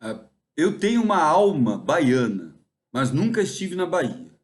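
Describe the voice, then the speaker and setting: A man from the interior of São Paulo, Brazil, talking in a rambling, reflective monologue, musing and often pausing mid-thought. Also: a little high, sing-song.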